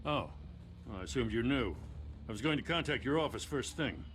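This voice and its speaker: A man's voice: medium pitch, animated, brisk, fairly bright tone, slight background noise, close to an everyday voice. An actor playing a principled male police lieutenant, firm and matter-of-fact, in a dramatic game. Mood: explaining.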